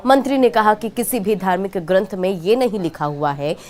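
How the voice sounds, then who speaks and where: A woman's voice: medium pitch, animated, moderate pace, a little mellow, a little hiss or hum. A woman delivering scripted news narration as a voice-over.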